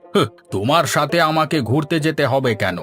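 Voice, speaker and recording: A man's voice: fairly high pitch, animated, fairly quick, a bit bright. A man playing a grumpy, sarcastic older village father-in-law who grumbles, in a folk-tale cartoon. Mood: grumbling. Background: background music.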